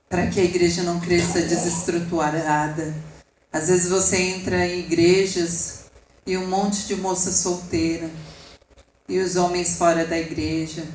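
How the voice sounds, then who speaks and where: A woman's voice: on the low side, darkish tone, reverberant, a little hiss or hum, low-quality recording. A woman with a Brazilian Portuguese accent preaching a sermon with passion, rising and falling and speaking asides to the congregation. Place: church hall.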